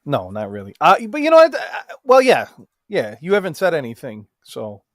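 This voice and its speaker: A man co-hosting a casual livestream chat, brash and profane, with sudden loud jumps. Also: a little high, huge pitch swings, moderate pace, no room sound, studio quality. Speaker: livestream co-host.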